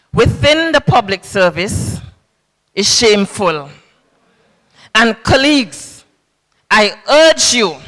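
Woman in her fifties, lay sermon, slow and bright, handheld mic in a reverberant hall. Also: a little high. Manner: emphatic, preacherly, with sing-song cadences and repetition. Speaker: government official preaching a sermon. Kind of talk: sermon at a public service church service. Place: large indoor hall at a podium. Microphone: handheld microphone. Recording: long reverb in a large hall.

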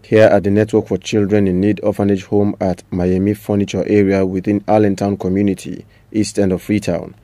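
Male TV reporter, Sierra Leonean accent, low mellow voice, dry close narration. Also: no room sound. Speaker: television news reporter. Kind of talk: scripted news report narration.

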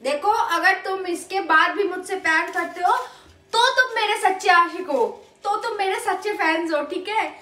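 A woman's voice: extremely high pitch, moderate pace, bright, forceful tone. A young woman in her twenties chatting playfully to camera in a small home kitchen, self-mocking and chatty. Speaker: vlogger. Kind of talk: casual vlog chat to camera. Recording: echoey kitchen with music underneath.

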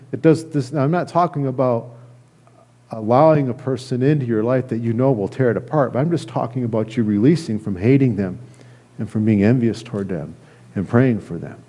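A middle-aged American man giving a sermon as a pastor, speaking earnestly and haltingly, often repeating phrases. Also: medium pitch, mellow. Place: church sanctuary, indoors at a lectern.

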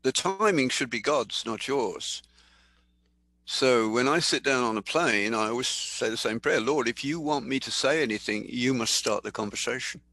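An older man preaches in a calm, conversational teaching voice with a gravelly edge and a bright, forward tone. He slows down when he reads passages aloud. The sound is dry and close, recorded indoors. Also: medium pitch, no room sound. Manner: thoughtful, conversational, reads scripture aloud slowly. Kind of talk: sermon teaching.

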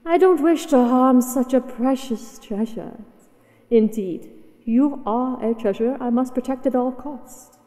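A woman's voice: high-pitched, unhurried, dark tone, big-room sound. A woman voicing a possessive, haughty dragoness in a scripted roleplay audio, speaking with condescending, teasing command. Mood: possessive, coaxing. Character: possessive, haughty, mighty female dragon captor.

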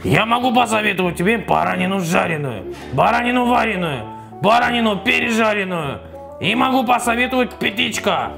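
A man's voice: high voice, animated, fairly quick, vocal fry, bright, forceful tone, faint music behind, heavily acted. A man voicing a pushy, effusive toy snack cook with wheedling, sing-song sales patter.

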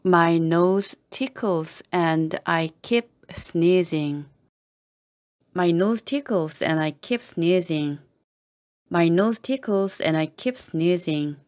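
A woman reading short patient sentences slowly and clearly, repeating each one the same way for learners to copy. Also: lowish voice. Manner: calm, even and carefully spoken, each sentence said the same way again and again. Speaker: English teacher reading model sentences. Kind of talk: slow, deliberate repeated phrases for learners to copy.

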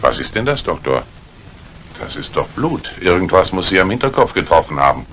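An actor playing a stern, suspicious police inspector in a 1950s German radio crime drama, probing and sardonic. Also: male voice, deep voice, very animated, a little fast, a bit bright. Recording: old radio play recording with faint hiss.

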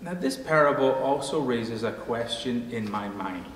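Middle-aged pastor preaching in echoey church. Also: male voice, mid-range voice, expressive. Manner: measured preaching, slowing at phrase ends. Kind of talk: sermon. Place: church sanctuary at a pulpit. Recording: echoey hall, faint room noise.

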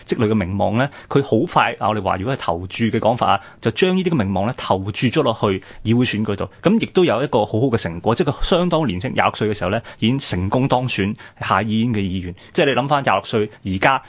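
A man's voice: on the low side, expressive, fast talker, hardly any pauses. A man with a Hong Kong Cantonese accent co-hosting a radio programme, discussing history in a conversational way.

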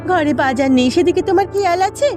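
A woman acts out a cartoon village housewife with an extremely high voice. She is weary and fretful yet caring, given to dramatic complaint and pleading. Music plays underneath her. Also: quick pace, darkish tone. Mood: upset, aggrieved. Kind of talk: Bengali cartoon voice acting. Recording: music behind the voice.

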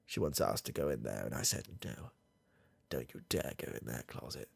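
A young man voicing a confused, rambling old grandfather with a gravelly, husky voice, in a comedy ASMR roleplay recorded close in a small dark room. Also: very deep voice, very animated, a little hiss or hum, voice pushed down, exaggerated voice. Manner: rambling, halting, trailing off, chuckling. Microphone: close studio mic, camera near face.

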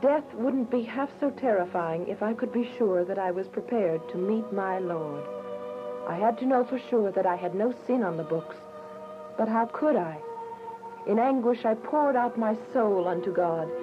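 A woman's voice: medium pitch, lively intonation, normal speed, lightly acted. A woman playing a devout, earnest young believer, narrating with emotion in a radio drama. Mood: anguished. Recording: muffled audio with music bed.

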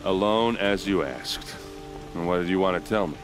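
A gruff warrior captain, acted by a man in a video game, tipsy and grieving. His low voice is rueful and informal, with music underneath. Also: moderate pace, lightly acted. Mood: brusque. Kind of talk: video game dialogue acting.

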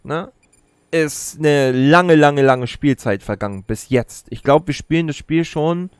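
A man's voice: fairly high pitch, measured pace, smooth, no room sound. A man in his thirties giving casual, explanatory gameplay commentary into a close streaming mic. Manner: explanatory, chatty. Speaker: let's play host. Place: indoor streaming setup. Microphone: close streaming mic at gaming chair.

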